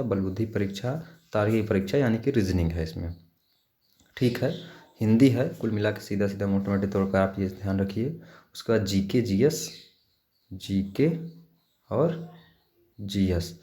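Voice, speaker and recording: A man's voice: lowish voice, darkish tone. A man talks like an exam coach. He walks listeners through material informally, step by step, often repeating his advice. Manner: repetitive, step-by-step advising. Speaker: exam preparation teacher. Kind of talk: informal instructional screen walkthrough.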